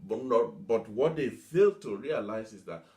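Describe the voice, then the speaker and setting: A man's voice: fairly low pitch, very animated, unhurried, dark tone. A man preaching a motivational teaching sermon, emphatic, repeating himself and asking rhetorical questions. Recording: echoey room.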